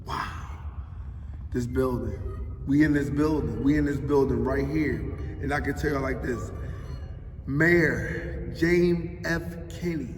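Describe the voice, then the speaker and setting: A man's voice: mid-range voice, slow, long pauses, slight background noise. A man in his forties from Philadelphia with a Philadelphia accent, answering interview questions in an emphatic, streetwise way. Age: 40s. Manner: street-talking, emphatic, often adds "you know what I mean". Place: large echoey public building. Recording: roomy hall echo, distant mic, faint ambience.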